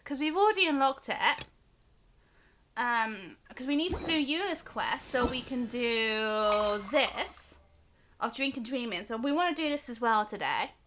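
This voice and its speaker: A woman's voice: sits high, bright, forceful tone, a little hiss or hum. A woman chatting casually and bubbly as she hosts a gaming livestream, rambling along and talking to her viewers. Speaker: livestream host.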